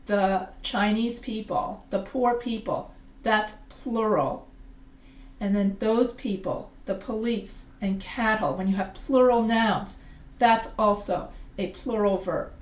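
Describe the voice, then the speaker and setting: Woman teacher, echoey distant grammar lecture. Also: mid-range voice. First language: not English. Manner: explains slowly, repeats and restarts phrases. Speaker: writing teacher. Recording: echoey room, a bit far from the mic.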